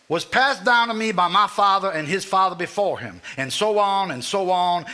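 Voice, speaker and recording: Man acting formal Southern lawyer, high bright voice. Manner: formal, measured, declamatory. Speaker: stage actor. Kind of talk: live stage drama, formally reading a will aloud.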